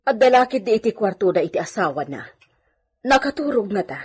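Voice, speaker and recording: A woman's voice: medium pitch, sing-song, brisk, a little mellow, slightly rough. A woman playing a nervous, evasive housemaid in a radio drama, speaking hesitantly with an Ilocano accent. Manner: hesitant, stammering, flustered.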